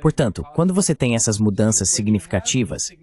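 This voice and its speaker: A man's voice: lowish voice, very animated, fairly quick, warm tone. A man speaking as an analyst in a dubbed political interview, making his points in an emphatic, argumentative way. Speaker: guest geopolitical analyst. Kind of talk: political commentary, dubbed voice-over.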